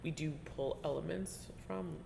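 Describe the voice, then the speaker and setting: A woman interviewing a colleague in a relaxed, chatty way, often trailing off and restarting and laughing as she talks. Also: low-pitched, normal speed, creaky, background noise. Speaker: interviewer, teaching artist. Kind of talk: conversational interview. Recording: reverberant room with faint ambience.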